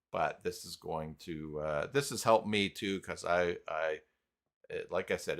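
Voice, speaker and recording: A man hosting a live stream tutorial in a casual, halting way, thinking aloud as he goes. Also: fairly low pitch, fairly bright tone. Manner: halting, thinking aloud while clicking around. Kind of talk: casual live tutorial talk, reading chat.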